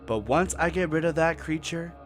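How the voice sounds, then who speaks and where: High-pitched, hot-headed expedition linguist played by a man. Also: normal speed, faint music behind, close to an everyday voice. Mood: angry defiant. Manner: argumentative, scornful, emphatic. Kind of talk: audio drama acting.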